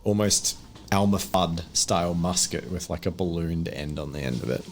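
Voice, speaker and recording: Man, game master, deep-toned, improvised descriptive narration, casual, noisy recording with clicks. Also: normal speed.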